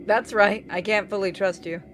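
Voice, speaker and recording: A woman's voice: medium pitch, fairly even intonation, moderate pace, noisy background. A woman giving a dramatic reading of visual novel game dialogue.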